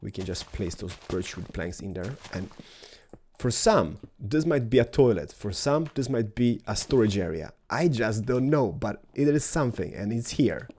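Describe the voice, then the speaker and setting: Man, slight cold, playful, thinking aloud, mellow voice, keyboard clicks behind. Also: lowish voice, animated, normal speed. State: has a cold. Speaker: Minecraft building host. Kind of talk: casual build commentary.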